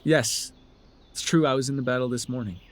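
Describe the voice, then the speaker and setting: A man's voice: medium pitch, fairly quick, lightly acted. A man playing a sardonic, telepathic supervillain in a dramatic audio roleplay, with a theatrical, taunting way of talking. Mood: threatening, wary. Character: sardonic male telepathic supervillain, menacing yet curious. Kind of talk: dramatic ASMR roleplay acting.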